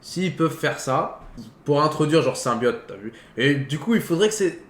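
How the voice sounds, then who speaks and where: A man's voice: a little high, expressive, normal speed. A man co-hosting a casual film talk, rambling informally in slangy, half-finished sentences. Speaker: co-host of a film talk show. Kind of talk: casual film debate between friends. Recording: echoey room with faint background noise.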